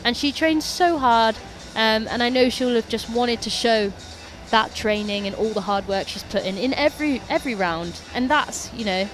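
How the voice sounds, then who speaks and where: A woman's voice: fairly high pitch, normal speed, few pauses, soft background music, rough recording. A woman co-commentating live on a climbing competition, upbeat and analytical, with encouraging words about the athletes' technique.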